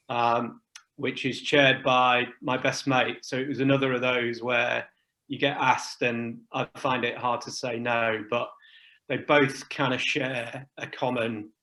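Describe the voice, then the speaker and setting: A middle-aged man on a video call, talking in a loose, self-deprecating manner. His pitch barely rises or falls and sits at an ordinary middle height. It sounds like relaxed conversation rather than a prepared speech. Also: low-quality recording. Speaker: charity chair and guest. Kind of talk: casual video chat.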